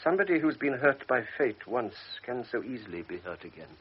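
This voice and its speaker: Grave doctor, husky, lively pitch, measured and persuasive, muffled old radio sound. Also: male voice. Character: calm authoritative older male doctor. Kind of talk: radio drama acting.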